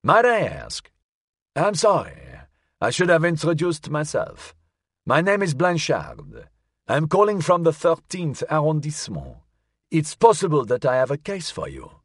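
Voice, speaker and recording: Male narrator as elderly Frenchman, raised pitch, courteous, measured, formal, audiobook character. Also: huge pitch swings, no echo, studio quality, exaggerated voice. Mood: polite. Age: older.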